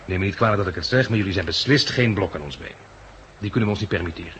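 A man's voice: low-pitched, racing, fairly bright tone. An actor playing a practical, reassuring, decisive man in a 1960s Dutch radio play, on a noisy recording. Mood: reassuring, tired.

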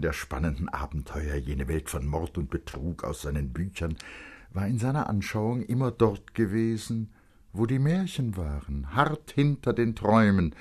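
A man with a deep, husky voice narrates a literary story for radio. His delivery is expressive and full of suspense, shaped as dramatic storytelling. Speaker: narrator, reader.

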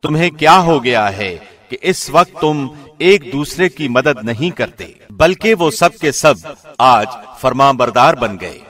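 A man reading a scripture translation formally, in the measured, solemn declamation of a radio presenter. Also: medium pitch, lively intonation, a bit bright.

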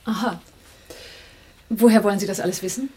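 An actress playing a quick-witted woman in a German radio play, wry and inquisitive in the way she talks. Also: mid-range voice, steady tone, darkish tone, slightly rough, lightly acted.

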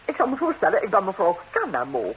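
Flustered female busybody, stammering, very lively intonation, hissy 1960s radio comedy. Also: medium pitch, fast, rough recording. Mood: brazen. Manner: flustered, stammering, repeating herself. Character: nervous, nosy, talkative married lady, indiscreet busybody visitor.

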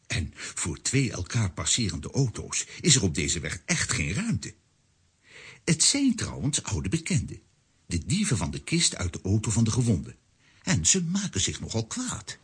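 A man narrating a scripted children's story in a rough, husky voice with animated storytelling. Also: on the low side, unhurried, heavily acted.

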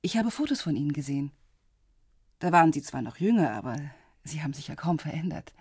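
A woman's voice: low-pitched, very animated, quick pace, a little mellow, husky, dry recording, studio quality, heavily acted. An actress playing a polite, composed woman of about forty in a radio drama, courteous and slightly hesitant. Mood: polite, tentative. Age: middle-aged.

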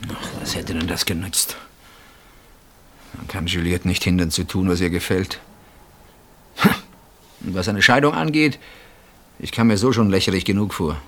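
Deep-voiced, bitter betrayed older husband. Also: male voice, normal speed, long pauses, fairly bright tone. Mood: wounded, sardonic. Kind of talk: German radio play acting.

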